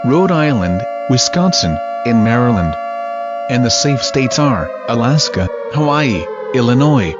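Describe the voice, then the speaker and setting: A man reading a scripted emergency broadcast announcement in a formal manner, as an alert announcer. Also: mid-range voice. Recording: dull lo-fi audio, loud noise.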